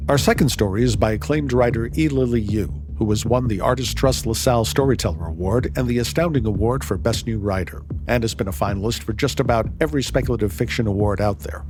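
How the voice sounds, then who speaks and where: A man hosts with an upbeat, showman-like delivery, reading a scripted introduction. His voice is on the low side, with music playing clearly behind him. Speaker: podcast host introducing stories.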